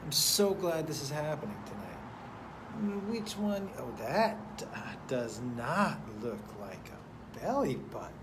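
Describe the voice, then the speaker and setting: A man's voice: on the high side, big pitch swings, takes it slowly. A man hosting a casual live stream, chatting loosely and profanely, reading out viewer names and rambling. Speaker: host of a live stream show. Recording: noticeable background noise.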